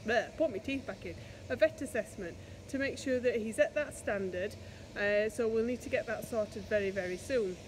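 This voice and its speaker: A woman in her forties, a farmer, chatting to the camera in a casual walk-and-talk vlog from a livestock barn, filmed on a phone held at arm's length. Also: a little high. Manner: chatty, talks to the camera while walking between animal pens. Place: livestock barn, partly outdoors in a farmyard. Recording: noisy phone video with farmyard sounds behind.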